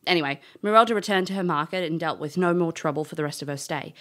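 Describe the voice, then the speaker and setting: A woman's voice: low-pitched, fast, natural voice. An actress playing a brash, cheeky, hot-headed elf adventurer who tells her tale in an audio drama, blunt and cocky. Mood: narrating, wry. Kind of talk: audio drama acting and first-person storytelling.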